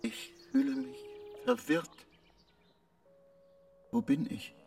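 A man playing a confused artificial intelligence of a spacecraft, speaking in a halting, disoriented way, sounding distant. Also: very high-pitched, expressive, vocal fry, darkish tone, heavily acted. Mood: confused, searching.

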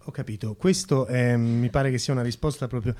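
Guy doing a satirical radio interview, normal pitch, kinda hesitant, polite and mock-formal. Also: brisk, a little mellow. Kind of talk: semi-improvised satirical interview.

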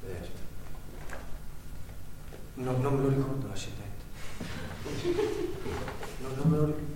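A man's voice: mid-range voice, animated, takes it slowly, background noise. A man playing a nervous jobless clown. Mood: hesitant. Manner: hesitant, unsure. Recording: distant echoey live stage recording.

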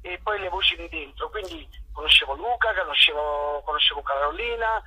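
A man from Naples speaks over a muffled phone line. His voice sits high, bright and husky. He talks thoughtfully, pausing and reaching for the right words. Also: expressive. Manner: thoughtful, searching for words with fillers. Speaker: actor guest. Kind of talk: phone interview. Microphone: telephone.

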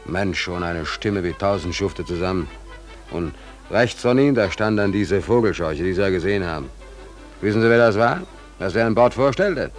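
A man's voice: low-pitched, moderate pace, natural voice. A man playing a stubborn, wronged sailor who protests in an old 1940s radio play. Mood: bitter. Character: wronged, indignant male sailor signed on as a ship's trimmer. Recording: vintage 1940s radio recording with music.

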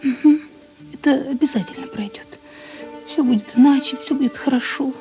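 An actress playing a quiet, caring, steadfast young woman in a Russian radio drama, answering softly and briefly. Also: high-pitched, normal speed, soft background music, low-quality recording. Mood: tender, soothing.

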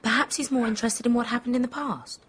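A woman's voice: fairly high pitch, quick pace, breathy edge. An actress playing a frank, anxious young British woman in a radio drama. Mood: probing. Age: young adult.

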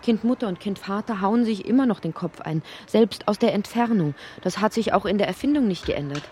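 An actress playing a brooding, introspective narrator of a dreamlike literary radio drama, with a soft, breathy, husky delivery. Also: female voice, mid-range voice, lively intonation, slight background noise, lightly acted. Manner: measured, introspective delivery, sometimes sinking into whispers.

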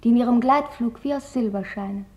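Woman playing a wistful girl diarist, high soft voice. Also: fairly quick, close to an everyday voice. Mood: wistful, yearning. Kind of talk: literary diary reading in a radio feature.

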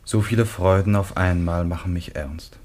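An actor playing a grave, gentle grieving son in a classic German radio drama, breathy and theatrical. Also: male voice, deep voice, fairly even intonation, normal speed, natural voice. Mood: grave, evasive.

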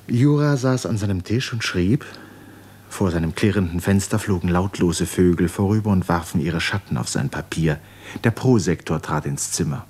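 Guy narrating an old radio play, fairly deep voice, measured and serious, scripted literary reading. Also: animated, a little mellow, husky. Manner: measured, sober.

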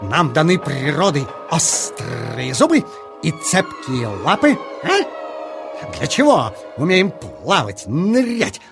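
Children's audio play: cocky, evasive polecat, high voice, very lively pitch, blustering and teasing. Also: male voice, slow, creaky, over music, exaggerated voice. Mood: smug. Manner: blustering, teasing, repeats himself. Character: boastful, sly male forest polecat, vain and evasive.

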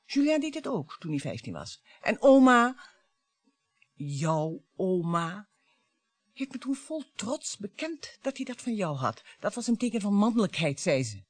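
A woman's voice: medium pitch, sing-song, unhurried, no echo. An actress playing a bitter, mocking wife in her fifties in a radio play, with a theatrical way of talking. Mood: wry, confiding. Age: 50s. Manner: theatrical, mocking, swinging between coaxing and scorn. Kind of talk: radio drama acting.

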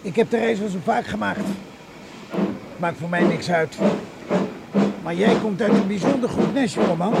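Avuncular older businessman, chatty, inquisitive, highish creaky voice, radio play, loud vehicle rumble. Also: moderate pace, pauses often. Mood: jovial, hearty. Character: chatty, paternal older factory owner on a train. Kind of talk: radio drama acting. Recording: very noisy recording with train rumble.

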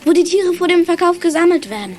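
A woman's voice: extremely high pitch, expressive, quick pace, darkish tone, a little hiss or hum. A female actor playing a wry, adventurous child detective in a children's radio play. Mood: watchful.

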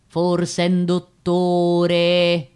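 An actress playing a gossipy, self-assured working-class fortune-teller and concierge in a radio play, with a Roman accent and a colloquial, insistent, rambling delivery. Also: female voice, fairly low pitch, monotone, very slow, darkish tone, smooth. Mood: knowing. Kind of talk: theatrical monologue in dialect.

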